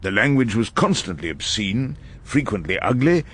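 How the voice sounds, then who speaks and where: An irascible old English barrister in a radio drama. His husky voice sits on the low side and swings expressively through wry, ornate, literary sentences. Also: very animated, normal speed, fairly bright tone, exaggerated voice. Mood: wry, world-weary. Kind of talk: radio drama narration and dialogue.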